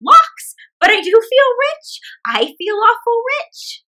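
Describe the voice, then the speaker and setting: A cheerful old country woman from a children's storybook, acted out by a woman reader, giggly and endlessly optimistic. Her voice is very high and bright and swoops wildly as she exclaims, with music behind. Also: huge pitch swings, slow pace, heavily acted. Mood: amazed delight.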